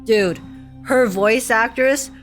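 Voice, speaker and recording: High-voiced young woman reacting to a game. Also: slow pace. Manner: slangy, expressive, emotionally invested. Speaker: game streamer. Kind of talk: live reaction commentary, casual chat. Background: game music.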